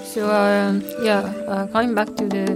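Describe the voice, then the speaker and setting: A woman's voice: medium pitch, flat delivery, moderate pace, over loud music. A woman interviewer asking questions in an unscripted, hesitant and attentive way. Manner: hesitant, attentive with frequent backchannels.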